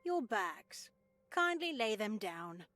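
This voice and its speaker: A woman voicing a stern, pious head of a religious house in a visual novel, measured, formal and coldly polite. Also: mid-range voice, very animated, fairly bright tone, faint music behind. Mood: coldly reproachful. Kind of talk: visual novel character acting.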